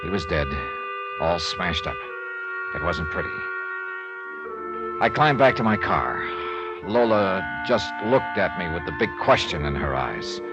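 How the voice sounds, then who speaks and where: An actor playing a world-weary, dogged American insurance investigator in a 1950s detective radio drama, narrating in clipped, terse phrases. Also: male voice, deep voice, big pitch swings, a little slow, long pauses, heavily acted. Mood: grim, terse. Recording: muffled vintage radio recording, loud music underneath.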